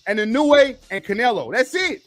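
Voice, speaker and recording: A man's voice: extremely high pitch, animated, moderate pace, no echo, soft background music. A man in his forties arguing boxing in a heated, profane debate, cutting in and repeating himself. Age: 40s. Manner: profane, combative, keeps repeating a name to cut in.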